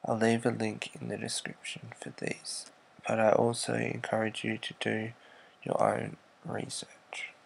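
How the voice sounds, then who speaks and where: A man narrating a screen-recorded walkthrough, explaining slowly and evenly and letting his sentences trail off quietly. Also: fairly low pitch, completely flat delivery, creaky, husky, low-quality recording. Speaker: YouTuber.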